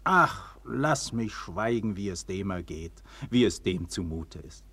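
A man's voice: on the low side, expressive, takes it slowly, husky, exaggerated voice. An actor playing an ardent, proud and weary nobleman and tender lover in a classic German radio play, with theatrical, declamatory delivery. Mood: impassioned, weary. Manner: theatrical, declamatory, swelling and falling to hushed tenderness.

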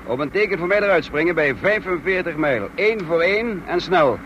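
A man acting in a radio drama as a brisk, authoritative army officer giving clipped orders. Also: high-pitched, lively intonation, fairly bright tone, highs cut off, slight background noise. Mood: brisk, commanding. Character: brisk commanding male military officer leading a raid.

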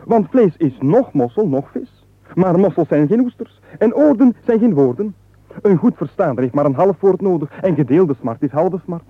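An actor with a Flemish accent playing a penniless, endlessly babbling rogue who rambles pedantically in a radio comedy. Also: male voice, high-pitched, lively intonation, moderate pace, no echo. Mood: pedantic, eager. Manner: rambling, pedantic wordplay and digressions. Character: penniless, nonstop-babbling male rogue angling for money. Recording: muffled, phone-like old radio recording.

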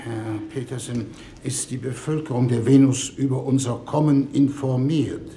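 A man's voice: mid-range voice, fairly even intonation, moderate pace, mellow, natural voice. An actor playing a formal, ponderous, idealistic foreign minister in a 1950s German radio play, hesitant in his speechmaking. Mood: formal, measured. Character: deliberate, idealistic male foreign minister leading a diplomatic mission. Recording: roomy 1950s radio recording, background hiss.

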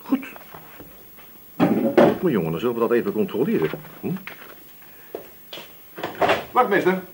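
An actor playing a male police inspector in a radio drama, questioning patiently but insistently with a slightly husky voice and deliberate pauses. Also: mid-range voice, wildly swooping pitch, very noisy. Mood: stern, insistent. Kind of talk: Dutch radio drama interrogation acting.

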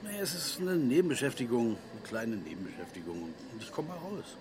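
An actor playing a guarded, dry middle-aged drug supplier, terse and reserved, in a naturalistic radio drama. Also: male voice, medium pitch, sing-song, a little fast, crowd noise, natural voice. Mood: evasive. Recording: very loud car and street ambience.

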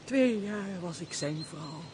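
An actress playing a remorseful young runaway wife in a radio drama, speaking in a solemn, tearful, melodramatic way. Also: female voice, lowish voice, animated, takes it slowly, noisy background. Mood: sorrowful, bitter. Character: remorseful young runaway wife, grief-stricken and self-punishing. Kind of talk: theatrical radio drama acting.

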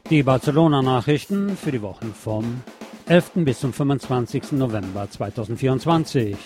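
A man with a medium-pitched voice introduces a radio news segment from a script. Loud drum-driven music plays behind him. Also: expressive, normal speed.

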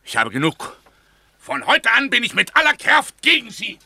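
An indignant count in a sixties radio drama. His voice is high, piercingly sharp and rough at the edges, declaiming in outrage. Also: huge pitch swings, normal speed, no room sound, full character voice. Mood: outraged. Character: indignant count breaking off a political pact. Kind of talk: 1960s German radio play acting.

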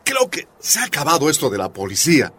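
A man's voice: fairly high pitch, huge pitch swings, fast talker, bright, forceful tone, slightly rough, full character voice. An actor playing an earnest, devoted young man in a radio drama, with music behind him. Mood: earnest, coaxing. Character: earnest young Bolivian ex-policeman, devoted suitor.

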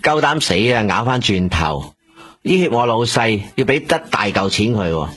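An actor playing a smooth-talking middleman who coaxes a woman on a boss's behalf in a radio drama. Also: on the low side, lively intonation, moderate pace, fairly bright tone. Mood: coaxing. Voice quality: wheedling, persuasive. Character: smooth-talking middleman running errands for a boss. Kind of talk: Hong Kong radio drama acting.

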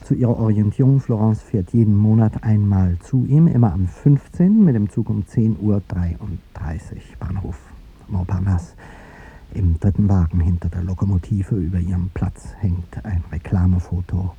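A man's voice: sits low, normal speed, dark tone, slightly rough, heavily acted. An actor playing an aging, dignified president who hides his heartbreak, speaking with hesitant, formal politeness in a radio drama. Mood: wounded, pointed. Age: older. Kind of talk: 1950s German radio drama acting. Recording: dull 1950s radio recording with music.

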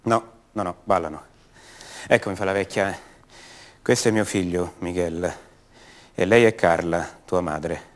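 A man's voice: deep voice, unhurried, pauses often. An actor playing a troubled young colonel's son in a radio monologue, hesitant and defensive, repeating himself as he doubts who he is. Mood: shaken, subdued. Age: young adult.

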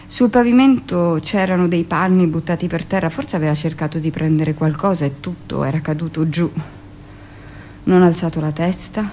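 An actress playing a middle-aged village-born midwife in an intimate, expressive theatrical monologue, with dramatic pauses. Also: female voice, fairly low pitch, a little hiss or hum, lightly acted. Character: 45-year-old village-born midwife, recounting childhood, emotional, earnest.

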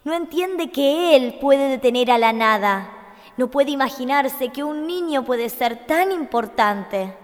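A woman playing a gentle, solemn young empress of a fantasy realm in a radio drama. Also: high voice, animated, a little slow. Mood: pleading, urgent. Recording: long hall reverb on studio recording.

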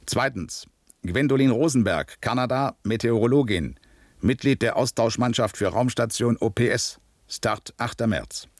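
A man's voice: mid-range voice, normal speed, no echo, studio quality, close to an everyday voice. An actor playing a dutiful male security policeman, giving precise, bureaucratic testimony in a radio drama. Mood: precise, official. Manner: precise, bureaucratic, matter-of-fact.

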